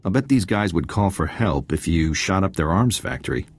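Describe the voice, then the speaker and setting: A man's voice: low-pitched, lively intonation, moderate pace, slightly rough, full character voice. An actor playing a confident male intelligence agent in a video game, wry and businesslike as he gives briefings.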